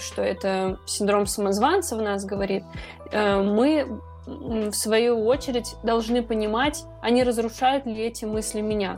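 A woman's voice: on the high side, darkish tone. A woman speaking as a podcast guest or presenter, explaining things in an informal, colloquial way.

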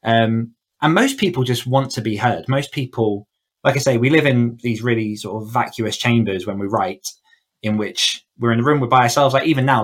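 A man with a mid-range voice talks as a guest coach on a podcast. He explains things in an informal, conversational way, often dropping in "like" and "you know". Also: medium pitch. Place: indoors, in a room at home, online call.

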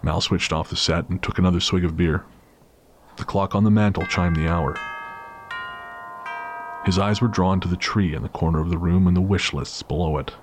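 A man's voice: sits low, fairly even intonation, normal speed, long pauses. A man reading a dramatic scripted story as the narrator of an audio drama. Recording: clear background noise and ambience.

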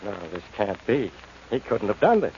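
An actor playing a suspicious police inspector in an old-time radio crime drama. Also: male voice, on the low side, very animated, normal speed, dark tone. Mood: insistent suspicion. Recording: dull, noisy old radio broadcast.

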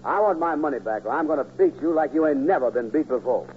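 A man playing a gullible, slow-witted, easily riled fellow with folksy, ungrammatical speech in a 1940s radio comedy. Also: on the high side, lively intonation, natural voice. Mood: angry threatening. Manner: folksy ungrammatical talk, dim. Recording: old tinny radio recording with hum.

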